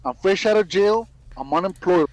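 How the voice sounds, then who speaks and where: Male content creator, high voice, very dry sound, slangy casual address with Spanish words dropped in. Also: normal speed, no echo, rough recording. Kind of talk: casual talk to viewers.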